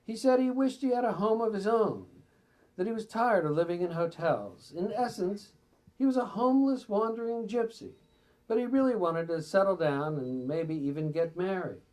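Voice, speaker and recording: A man's voice: sits high, moderate pace, a little mellow, rough recording. A man reading excerpts from a memoir aloud at a live reading, sometimes stumbling and stepping out of the text with asides. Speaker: reader at a bookstore event.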